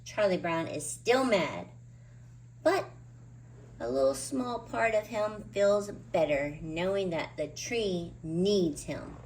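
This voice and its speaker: A woman reading a children's picture book aloud in an expressive, emphatic way, drawing out key words. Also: mid-range voice, slow pace, slight background noise, rough recording. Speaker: children's storybook reader. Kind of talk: animated read-aloud narration of a picture book.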